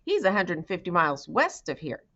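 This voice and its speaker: A woman's voice: lowish voice, very animated, a little fast, bright, forceful tone, highs cut off, exaggerated voice. A woman reading a story, voicing a welcoming, hearty female cook in a cheerful manner. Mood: hearty, friendly.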